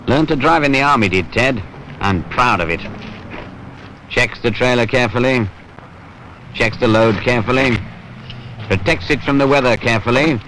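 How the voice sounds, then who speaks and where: A man's voice: medium pitch, normal speed, pauses often, a bit bright, natural voice. An actor playing a wry, world-weary British working man who narrates a training film in a colloquial, grumbling, sardonic way. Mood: wry, exasperated. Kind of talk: conversational scripted narration in a 1970s training film. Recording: noisy 1970s film soundtrack.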